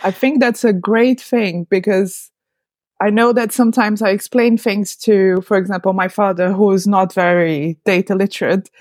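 A woman's voice: mid-range voice, fairly even intonation, normal speed, darkish tone, no room sound. A woman chatting casually as a podcast co-host. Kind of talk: casual chat.

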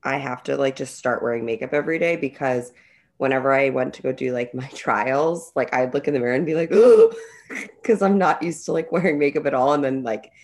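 Young woman with a super low voice, rambly podcast chat, tons of likes. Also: a little fast, darkish tone. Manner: rambling, many "like" fillers, speaks in bursts. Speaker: podcast co-host. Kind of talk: casual chat.

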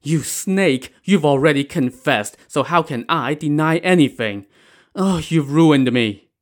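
A man's voice: on the high side, lively intonation, a little slow, voice pushed up. A man voicing a cornered, scheming old woman in a story, protesting and lamenting. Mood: bitter lament.

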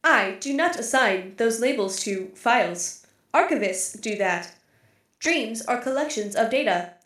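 A woman playing a literal-minded female AI archive computer in an audio drama, speaking in a flat, formal, deliberate way. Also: a little high, echoey room. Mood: impassive, matter-of-fact. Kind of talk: scripted audio drama acting.

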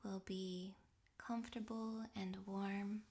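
A woman guiding a relaxation meditation in a soft, breathy, half-whispered voice, gently and with long pauses. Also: medium pitch, flat delivery. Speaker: yoga nidra meditation guide.

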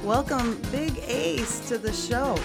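A woman's voice: a little high, slow. A woman hosting a radio show, introducing and interviewing a guest in an upbeat way, stressing names as she goes. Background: music playing.

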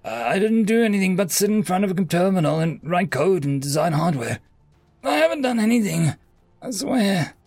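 A man's voice: sits high, very animated, moderate pace, a little mellow, no room sound, soft background music, voice pushed up, full character voice. A man voicing a frightened, bewildered young engineer under interrogation in an audio story, stammering and pleading. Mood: pleading, exasperated. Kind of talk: acted story dialogue.

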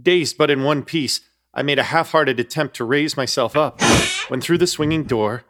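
A man's voice: fairly high pitch, normal speed, a bit bright, lightly acted. Actor as wry, uneasy male narrator. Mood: tense, unsettled. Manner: florid, wry storytelling. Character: intrepid, uneasy male apartment hunter narrating his ordeal. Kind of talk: scripted first-person horror comedy narration.

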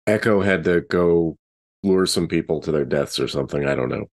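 A player in a tabletop game speaks in character in an offhand, casual way. The voice is low and the recording is dry and close. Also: moderate pace, darkish tone, no room sound.

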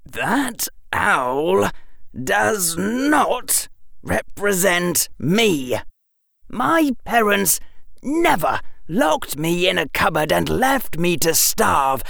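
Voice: very animated, unhurried, dry recording, clean studio sound. A performer voicing a precocious, indignant young boy, emphatic and fiercely defensive. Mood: shaken, firm. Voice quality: shaky, climbing toward shrill. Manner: emphatic, articulate, argumentative.

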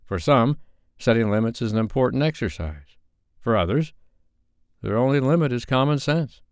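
A man voicing a diplomatic, polite male moderator in an audiobook, placating and measured. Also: medium pitch, animated, dry recording, studio quality, pitched above the performer's own voice, lightly acted.

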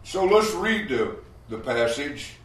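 Loud male Bible teacher in a roomy hall. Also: on the high side, very animated, slow pace, recorded from a distance. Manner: loud, emphatic preaching with rhetorical questions. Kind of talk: Bible study sermon. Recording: roomy hall, mic from afar, faint noise.

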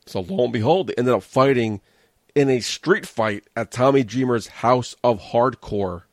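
A man's voice: medium pitch, a little slow, no room sound. Male American show host, mid-range voice, casual and opinionated commentary with a sardonic edge, dry sound. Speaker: host of a wrestling review show. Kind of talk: casual solo commentary on wrestling shows.

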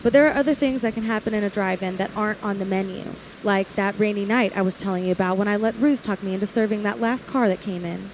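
A woman's voice: mid-range voice, fairly even intonation, quick pace, few pauses, a little mellow, slight background noise, lightly acted, rough recording. A woman playing a young, lonely drive-in waitress in a 1940s-style radio play, confiding and wary. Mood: wistful, confiding. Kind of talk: 1940s radio play acting, first-person narration.

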